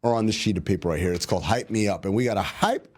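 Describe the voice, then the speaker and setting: A man's voice: fairly low pitch, racing, slight background noise. A man co-hosting a basketball podcast, chatting casually and teasing his co-host while he explains team finances in plain terms. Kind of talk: casual sports chat.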